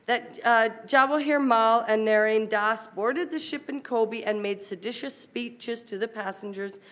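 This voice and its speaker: A woman reading a formal academic paper aloud at a university conference, in a measured, careful manner. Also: on the high side, a bit bright.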